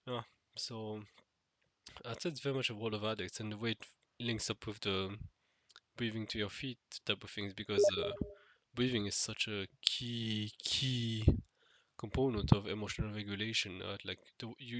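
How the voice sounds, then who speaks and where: A man's voice: fairly low pitch, steady tone, moderate pace, a bit bright, a little hiss or hum, poor audio. A man with a nasal, stuffed-nose voice musing alone to the camera in an unscripted vlog, hesitant, with many fillers and restarts. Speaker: vlogger. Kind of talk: unscripted solo monologue.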